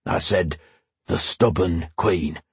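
A man voicing a gruff, loyal armoured knight with dry, deadpan wit in an audiobook fantasy story. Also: low-pitched, slow pace, no echo, full character voice. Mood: protective, grumbling.

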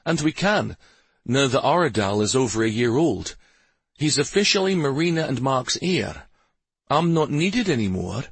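Man playing an earnest, brooding young warrior, slightly husky. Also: medium pitch, big pitch swings, measured pace, dry recording, pitched above the performer's own voice. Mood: bitter. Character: brooding young male war master, weary and dutiful.

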